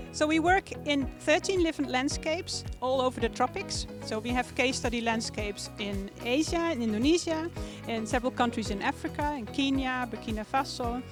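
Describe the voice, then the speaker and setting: A woman introducing a university research program in a clear, explanatory way. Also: fairly high pitch. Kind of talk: presenting to camera. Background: background music.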